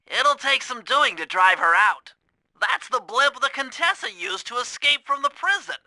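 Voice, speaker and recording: A brainy young cartoon turtle, with a very high, bright nasal voice. He lays out heist plans for a video game in an eager, precise and earnest way, sounding close and dry. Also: no echo, heavily acted, low-quality recording. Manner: precise, technical, eager mission briefing. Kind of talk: animated video game voice acting.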